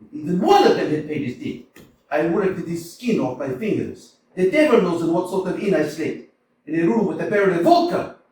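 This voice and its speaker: A man's voice: high-pitched, big pitch swings, brisk. Smirnov, a man playing a blustering, irate landowner. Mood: exasperated. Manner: ranting, exclamatory, swinging between mutters and shouts. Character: irate middle-aged male landowner chasing a debt, blustering. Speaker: actor in a community staged reading. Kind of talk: staged play reading, theatrical. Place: small community theater stage. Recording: echoey theater from far off, faint hiss.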